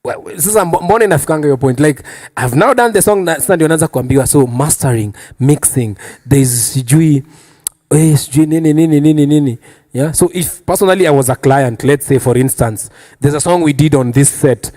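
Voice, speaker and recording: A man's voice: a little high, fairly quick, darkish tone. A man with a Kenyan accent hosting a casual panel chat, teasing his guests with questions and switching between languages. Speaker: talk show host.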